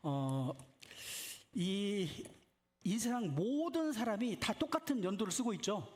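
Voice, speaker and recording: A man's voice: high-pitched, animated, slow pace, darkish tone. A man preaching in a conversational style, putting questions to listeners and often repeating short affirmations. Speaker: preacher at a church retreat. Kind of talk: conversational sermon with questions to congregation.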